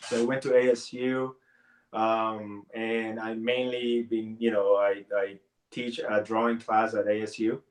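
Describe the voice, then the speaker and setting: Halting Puerto Rican-born man in his forties. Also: on the low side, flat delivery, poor audio. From Puerto Rico. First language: Spanish. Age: 40s. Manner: halting, many restarts and fillers. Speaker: guest artist. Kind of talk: casual interview talk.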